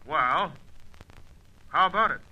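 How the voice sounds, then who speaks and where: An actor playing a brash, impatient, wisecracking American pilot for hire with slangy talk, heard over a telephone in a radio serial. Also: male voice, a little high, expressive, slow. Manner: blunt, slangy tough-guy talk. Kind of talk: old-time radio adventure serial acting. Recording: dull, band-limited phone-call sound.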